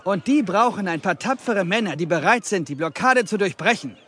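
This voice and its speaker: An actor playing a shrewd, courteous, genteel merchant who recruits men in an audio drama. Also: male voice, high voice, animated, fairly quick, fairly bright tone, a little hiss or hum. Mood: smooth, insinuating. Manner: courteous, persuasive, self-assured. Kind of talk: German audio drama acting.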